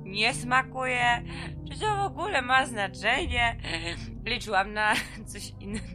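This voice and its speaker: A woman's voice: fairly high pitch, measured pace, lightly acted. An actress playing a bitter, drunken woman fresh out of prison, rambling and swearing in slangy speech in an audio drama. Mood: tipsy, rambling. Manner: rambling, vulgar, slurred drunken repetitions. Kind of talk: Polish audio drama, slangy profane monologue. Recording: music under the voice. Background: music.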